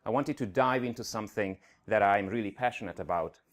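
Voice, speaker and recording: Middle-aged man with a light Hungarian accent and a somewhat low voice; earnest speaker at a tech conference. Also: a little fast. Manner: earnest, with frequent uh fillers. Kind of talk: conference keynote talk. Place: conference venue stage.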